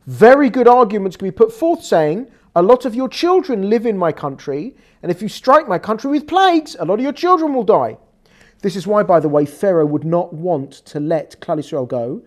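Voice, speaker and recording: A woman with an English accent giving a lively, conversational religious lecture, acting out quoted lines as she explains. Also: fairly low pitch. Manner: animated, conversational teaching with quoted dialogue. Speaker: Torah teacher.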